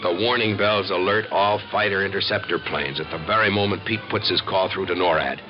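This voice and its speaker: A man's voice: deep voice, brisk, natural voice. An American man playing an earnest, assured civil defense expert who explains and narrates in a 1950s radio documentary. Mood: grave, dramatic. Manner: explanatory, folksy, emphatic. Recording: dull old radio recording with music.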